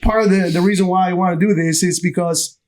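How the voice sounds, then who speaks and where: High-pitched, effusive man hosting a podcast. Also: fairly even intonation, fast talker, a little mellow, slight background noise. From Ecuador. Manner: effusive, informal, addresses guest and audience. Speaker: podcast host. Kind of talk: enthusiastic casual chat.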